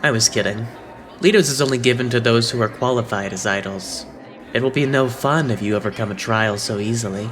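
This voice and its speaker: A man's voice: mid-range voice, brisk, a bit bright, soft background music, natural voice. A man voicing a suave, slyly playful young idol leader in an anime fandub, composed and teasing.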